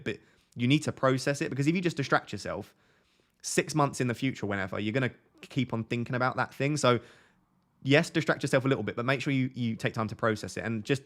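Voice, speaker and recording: A man's voice: medium pitch, a bit bright. A man in his twenties from Essex, with a toned-down Essex accent, chatting candidly on a live stream and answering viewers' questions, rambling through tangents and restarts. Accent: softened Essex English. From Essex, England. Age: 20s. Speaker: fantasy football streamer answering chat. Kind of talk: casual live-stream chat.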